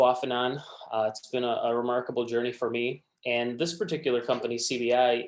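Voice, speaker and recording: Recruiter type, man at a mid pitch, friendly and casual, lots of "uh"s, in a roomy, echoey space. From born in Romania, raised in Michigan. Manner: friendly, frequent fillers and restarts. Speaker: recruiter giving a resume workshop. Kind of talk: casual presentation to students.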